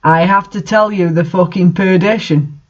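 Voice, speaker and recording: A man's voice: sits high, fairly even intonation, moderate pace, dull audio, close to an everyday voice. A man voicing a grave female fortune-telling professor who instructs solemnly in a story reading.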